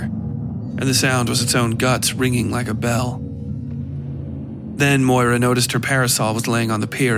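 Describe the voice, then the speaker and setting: Gravelly man narrating a horror story. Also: medium pitch. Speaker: narrator of a fiction podcast. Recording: clear music behind the voice.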